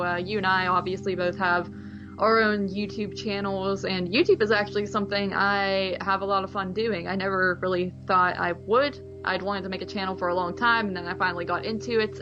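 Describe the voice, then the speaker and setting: A woman co-hosting a podcast, chatting in a casual, informal, conversational way. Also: on the low side. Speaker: podcast co-host. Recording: slightly dull lo-fi audio, music behind.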